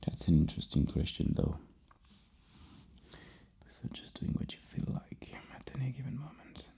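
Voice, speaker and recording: A man speaking softly and breathily as an ASMR psychologist, asking questions in a halting, musing way. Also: sing-song. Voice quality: soft, breathy, gravelly low murmur. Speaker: ASMR roleplay host playing a psychologist. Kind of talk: soft-spoken ASMR questioning.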